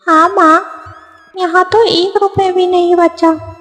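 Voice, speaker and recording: A woman's voice: very high-pitched, flat delivery, takes it slowly, fairly bright tone, pitched above the performer's own voice, heavily acted. A woman voicing a poor villager's young daughter, alarmed, in a Hindi moral-story cartoon. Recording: long reverb, music behind.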